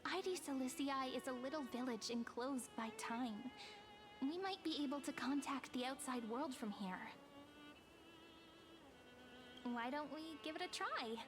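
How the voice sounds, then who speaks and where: A high-pitched, gentle young game heroine speaks over music. Her delivery is soft and measured, wistful and earnest with a playful edge. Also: animated. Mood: calm, earnest. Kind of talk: video game story voice acting.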